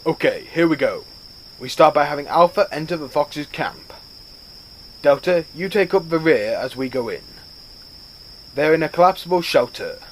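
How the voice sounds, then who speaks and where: A man's voice: a little high, animated, normal speed, long pauses, slight background noise, close to an everyday voice. Man playing a commanding rabbit starship captain. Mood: resolute. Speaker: ship captain giving orders. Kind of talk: science fiction audio drama acting.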